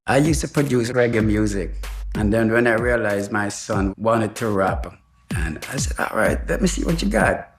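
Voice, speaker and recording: A man's voice: fairly low pitch, animated, normal speed, faint music behind. An older man, a father telling stories casually and quoting conversations as he goes. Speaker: father interviewed about his son. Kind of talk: casual storytelling with quoted dialogue.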